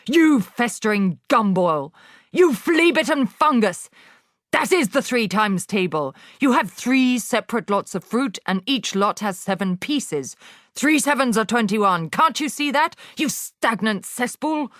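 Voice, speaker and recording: Give me a shouting, bullying headmistress, read by a woman in a higher, harsher voice. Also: lively intonation, measured pace, no room sound, clean studio sound. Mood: furious, bullying. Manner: shouting, insulting, barking. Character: bullying, bellowing female headmistress.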